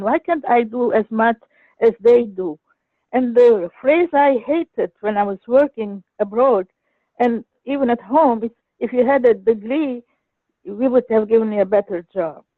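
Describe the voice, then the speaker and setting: A woman's voice: fairly high pitch, no echo. An older woman from Egypt calling in to a talk show, telling her story in an earnest, hesitant way with many restarts. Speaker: caller. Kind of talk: phone-in sharing a personal story. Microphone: telephone. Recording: dry tinny phone line.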